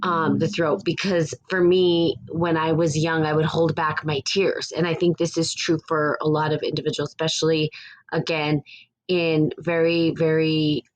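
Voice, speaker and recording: A woman with a raspy voice hosting a podcast, talking casually in a rambling, reflective way. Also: sits low, little pitch movement, moderate pace, poor audio. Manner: rambling and reflective, with frequent restarts. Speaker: podcast host. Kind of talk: casual spiritual podcast talk.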